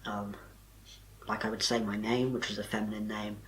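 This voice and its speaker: Soft-spoken young man. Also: lowish voice, little pitch movement, normal speed, rough recording. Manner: soft and quiet, little vocal power. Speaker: vlogger. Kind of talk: casual talk to camera.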